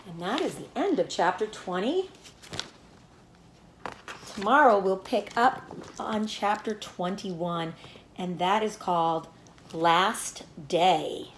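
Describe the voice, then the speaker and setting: A woman reading a children's book aloud as a teacher, in an animated storytelling manner. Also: mid-range voice, slow pace, pauses often, roomy sound, a little hiss or hum. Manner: animated storytelling with acted dialogue.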